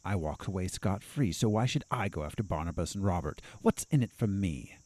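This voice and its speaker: An actor playing an ambitious, scheming doctor in an audio drama, measured, pointed and self-assured. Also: male voice, low voice, lively intonation, a little mellow, dry recording. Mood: assertive bargaining.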